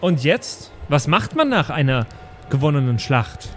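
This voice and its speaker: A man's voice: a little high, big pitch swings, unhurried, a little hiss or hum. A man playing a polite, modest, eager apprentice adventurer in an improvised tabletop audio play.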